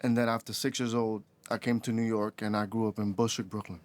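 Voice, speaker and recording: A man in his twenties from the Dominican Republic, a podcast guest who tells his story in a halting way with many restarts. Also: on the low side, level tone, dry recording. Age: 20s. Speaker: podcast guest, rideshare driver. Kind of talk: casual interview chat.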